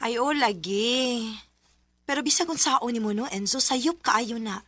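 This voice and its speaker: Outspoken admonishing woman, high voice, sharp and bright, Bisaya Filipino accent, radio drama. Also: measured pace, no room sound, studio quality. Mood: scolding. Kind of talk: Philippine radio drama acting.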